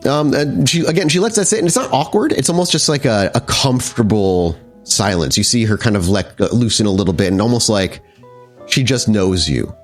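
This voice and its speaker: A man's voice: fairly low pitch, a little fast, faint music behind. A man working as game master, improvising descriptive, conversational narration for a tabletop roleplaying game.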